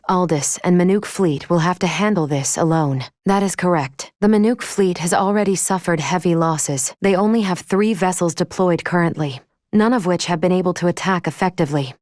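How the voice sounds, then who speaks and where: Game casting: actress as a logical female android ship's officer, lowish voice, formal, clinical and measured, recorded dry. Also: darkish tone, no room sound, lightly acted. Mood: calm, businesslike. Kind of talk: scripted video game voice acting.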